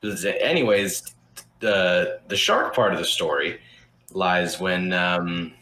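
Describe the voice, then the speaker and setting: A man's voice: low voice, slow, bright, forceful tone, echoey room. A young man hosting a podcast, telling a story in a loose, rambling way with lots of restarts and casual swearing. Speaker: podcast host.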